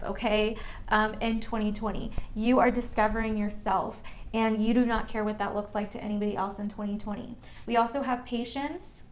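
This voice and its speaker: A woman hosting an online tarot reading, talking to viewers in a casual, chatty, emphatic way and repeating phrases for stress. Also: fairly high pitch.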